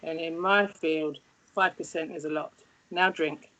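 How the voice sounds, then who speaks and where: A woman's voice: deep voice, fairly even intonation, a little slow, fairly bright tone, poor audio. A woman acting a wry, loving, no-nonsense middle-aged scientist mother in a screenplay table read, teasing and emphatic. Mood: wry, advising.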